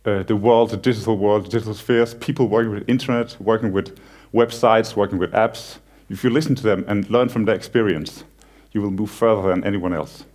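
Danish man, 30s, conference speaker on stage in a cinema hall, easygoing and joking, stumbles a bit. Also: lowish voice. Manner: relaxed, self-deprecating humor with frequent halting restarts. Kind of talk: conference talk, casual and joking.

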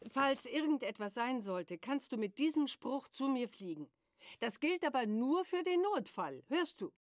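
A woman's voice: high-pitched, big pitch swings, unhurried, a little hiss or hum. A woman playing a stern, caring mother witch in a children's cartoon game, with a firm, instructive delivery. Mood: brisk, caring.

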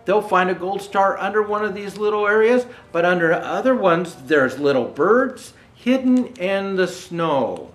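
An older man reading a children's picture book aloud, pausing to explain things gently to young viewers. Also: high voice, lively intonation, faint music behind. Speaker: storyteller dressed as Santa Claus. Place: indoor room with Christmas decor. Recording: roomy indoor echo.

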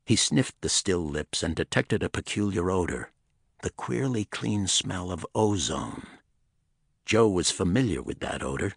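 Male audiobook narrator for a dramatic crime pulp: low register, husky grain, close dry recording. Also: no room sound.

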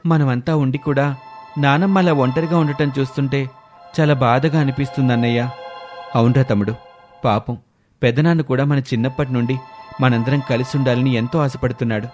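A man's voice: medium pitch, darkish tone, close to an everyday voice. A man voicing a respectful, dutiful young man in a family story, polite and earnest, with music behind. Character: respectful young adult grandsons, earnest, dutiful, caring brothers.

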